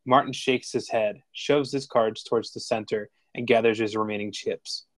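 A man's voice: fairly low pitch, steady tone, moderate pace, no echo. Man reading screenplay directions, dry sound. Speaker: stage directions reader. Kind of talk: scripted narration.

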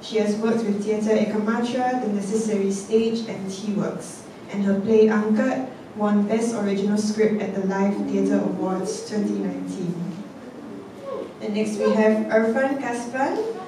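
A woman's voice: medium pitch, fairly even intonation, warm tone. Woman emcee reading bios in an echoey hall. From Singapore. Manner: measured, reads from notes with occasional ums. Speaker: event emcee introducing panel speakers. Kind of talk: reading speaker bios aloud. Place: large theatre stage with a seated panel. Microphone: handheld mic, heard from a distance. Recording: echoey hall, voice from far off, noisy.

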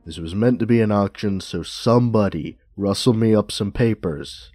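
Man as hard-boiled detective, terse, commanding, dark tone, dry close recording, audio roleplay. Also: fairly low pitch, moderate pace, no room sound, soft background music, close to an everyday voice. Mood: urgent, commanding.

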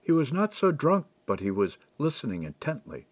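A man reading a historical mystery as an audiobook, in a measured, expressive storytelling manner. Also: mid-range voice, breathy edge, no echo.

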